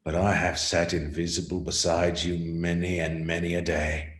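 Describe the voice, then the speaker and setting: A man's voice: sits low, level tone, natural voice. A man acting the mournful, remorseful ghost of a dead businessman in a radio play, with solemn, swelling declamation. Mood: solemn warning. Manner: solemn, drawn-out declamation with big swells and hushed drops. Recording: roomy, echoing sound.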